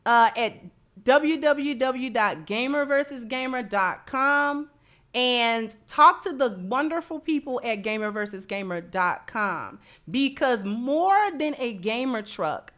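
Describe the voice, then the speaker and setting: A woman's voice: fairly high pitch, fairly bright tone. An American woman hosting a live commentary show, speaking emphatically and repeating phrases to drive them home. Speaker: show host. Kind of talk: live commentary and sponsor read.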